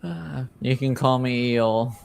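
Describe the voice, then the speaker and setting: A man's voice: medium pitch, slow, creaky, smooth. A man voicing a laconic, easygoing, shady tavern informant in a tabletop game, casual and cagey. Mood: cagey, unbothered.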